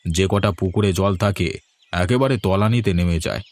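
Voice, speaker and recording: Man voicing a gruff old village farmer. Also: deep voice, moderate pace, lightly acted. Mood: worried. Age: older. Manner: brushing off, fretful. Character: worried older village farmer, dismissive uncle.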